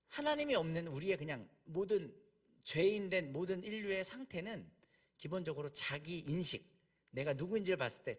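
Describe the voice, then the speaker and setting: A man's voice: high voice, a bit bright. A man preaching a conversational sermon, explaining as he goes, repeating key points and posing rhetorical questions.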